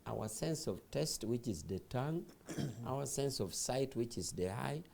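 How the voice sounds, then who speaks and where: Insistent man with a dark voice. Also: mid-range voice, lively intonation, unhurried, gravelly, slight background noise. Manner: insistent, lecturing, repeats phrases. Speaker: party official guest. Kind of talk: talk show debate.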